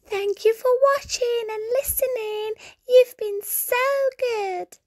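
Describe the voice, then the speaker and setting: A sweet little cartoon girl for a children's story channel. The voice is squeaky and extremely high. The words come slowly and cheerfully, in a close, dry sound. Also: fairly even intonation, no room sound. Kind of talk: children's channel intro and sign-off.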